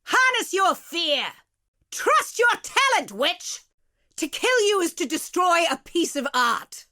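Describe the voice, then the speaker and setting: A ruthless game villainess, high and forceful. She is imperious and theatrical, drawing out her taunts slowly with wide swings in pitch. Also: sing-song, full character voice. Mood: fierce, desperate. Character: arrogant, ruthless female villain fighter, scornful and fervent. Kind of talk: scripted video game combat lines.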